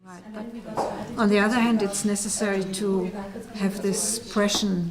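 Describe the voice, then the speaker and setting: A woman arguing a point in a discussion with deliberate, broken phrasing. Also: medium pitch, fairly even intonation, a crowd in the background. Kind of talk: panel discussion.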